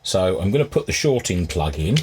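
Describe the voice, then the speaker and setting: A man's voice: low-pitched, sing-song, brisk, noisy background. A man giving a hands-on repair walkthrough in a methodical, matter-of-fact, step-by-step way. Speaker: hobbyist restorer presenting. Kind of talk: hands-on repair narration, semi-scripted demonstration.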